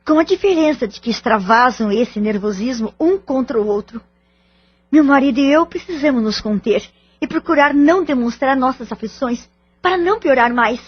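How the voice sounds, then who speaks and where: Anxious, polite family mother, Brazilian, high husky voice, formal radio-drama delivery. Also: animated, moderate pace. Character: distressed married mother, anxious, polite, hopeful. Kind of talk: audio drama acting in Portuguese. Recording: dull lo-fi audio drama recording.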